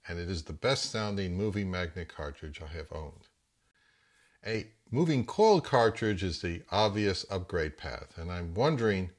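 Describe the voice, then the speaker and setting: A man in his fifties with a fairly low voice hosts a review channel. He delivers scripted product commentary in the manner of a narrator, speaking as a presenter to his viewers.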